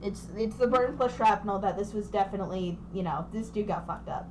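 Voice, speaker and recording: A woman's voice: medium pitch, a little fast, darkish tone, slight background noise, rough recording. A young woman running a tabletop game over voice chat, describing scenes in a rambling way with many fillers. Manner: rambling, lots of fillers and restarts. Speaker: game master.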